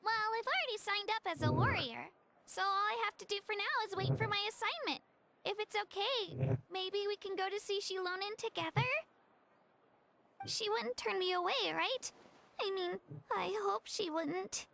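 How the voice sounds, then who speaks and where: A woman's voice: extremely high pitch, moderate pace, gravelly, noisy background. A woman voicing an earnest, eager and modest young girl warrior in a video game, with a youthful, slightly hesitant delivery. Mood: admiring, hopeful. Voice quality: youthful, girlish.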